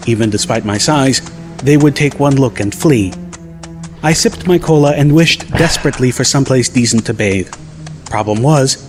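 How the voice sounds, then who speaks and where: Guy reading an audiobook, dry wry tone, normal pitch, steady music under him. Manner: measured, dryly wry storytelling. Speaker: audiobook narrator. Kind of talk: first-person audiobook narration. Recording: clear music bed behind the voice.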